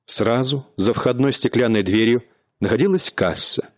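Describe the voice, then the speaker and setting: A man narrating a radio play in a measured, even storytelling manner. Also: mid-range voice, no room sound, clean studio sound.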